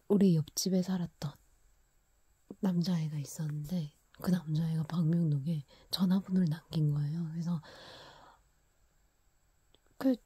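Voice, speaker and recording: A woman whispering a personal story into a close microphone, laughing quietly now and then. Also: fairly low pitch, slightly rough, no room sound.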